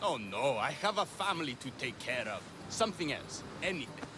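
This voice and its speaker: A man's voice: medium pitch, very animated, normal speed, music in the background, natural voice. An actor playing a proud, melodramatic, honour-obsessed Greek man in a video game cutscene, pompous and theatrical. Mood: haughty.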